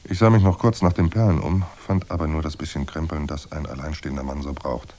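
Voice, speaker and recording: An actor playing a hard-boiled private detective in a noir radio drama, dry, laconic and sardonic. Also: male voice, very deep voice, steady tone, a little fast, dark tone. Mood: dry, wry. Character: hard-boiled male private detective narrating, wry and unflappable. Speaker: narrator and lead actor.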